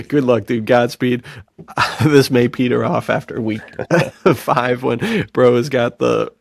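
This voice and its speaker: A man's voice: mid-range voice, slow, vocal fry. A man hosting a podcast in a casual, laid-back, bro-ish way, using plenty of slang. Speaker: podcast host.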